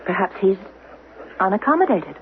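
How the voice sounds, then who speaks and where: A woman's voice: medium pitch, big pitch swings, moderate pace, phone-quality sound, natural voice. An actress playing a shrewd, probing rebel wife guarding her son, with direct, coaxing delivery in a radio drama. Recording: noisy, band-limited 1970s radio broadcast.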